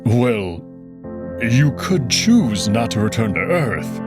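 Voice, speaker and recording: A man voicing an earnest, formal male furry alien who speaks in a measured, dutiful way. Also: mid-range voice, big pitch swings, over music. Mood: careful.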